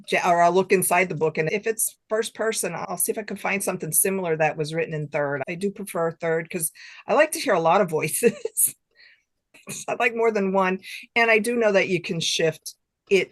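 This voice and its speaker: A woman's voice: lowish voice, moderate pace. A woman author chatting casually as an interview guest, enthusiastic and repeating phrases for emphasis. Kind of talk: casual chat.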